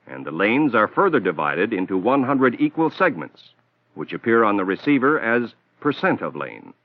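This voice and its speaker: A man giving measured, scripted technical narration for an instructional film. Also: fairly low pitch, no room sound. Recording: tinny narrow-band old film soundtrack.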